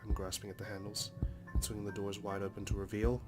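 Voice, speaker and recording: A man reads visual novel narration as a dramatic story for a Let's Play. His voice sits low and stays very even in pitch, measured and serious. Loud game music plays behind him. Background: game soundtrack music.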